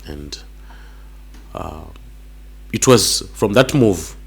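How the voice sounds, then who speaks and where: Vlogger: middle-aged Zimbabwean man, very deep, voice hoarse from flu, sincere and personal. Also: lively intonation. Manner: earnest, unhurried, personal. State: hoarse from a cold. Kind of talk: reflective vlog talk to camera.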